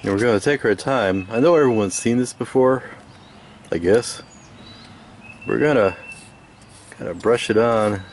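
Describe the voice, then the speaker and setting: A man giving a casual hands-on restoration demonstration, musing aloud in an unhurried, hesitant way as he works. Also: lowish voice, a little hiss or hum. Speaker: radio restoration hobbyist.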